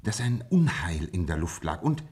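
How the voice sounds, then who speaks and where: An actor playing a shrewd, self-assured gentleman detective in a German radio play, explaining deliberately with teasing asides. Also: low voice, sing-song, breathy edge, exaggerated voice. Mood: confident, dramatic.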